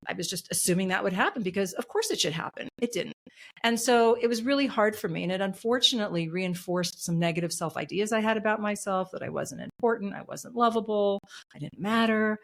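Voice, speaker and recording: A middle-aged woman being interviewed as an author and coach, speaking earnestly and emphatically, repeating her key phrases. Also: mid-range voice. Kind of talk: podcast interview.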